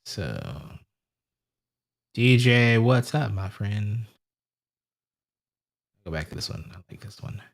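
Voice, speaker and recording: A man's voice: lowish voice, sing-song, slow pace, creaky, long pauses. Chatty man thinking aloud on a live stream. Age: adult with a wife and family. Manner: rambling, thinks aloud while fiddling with stream settings. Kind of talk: casual live-stream chat.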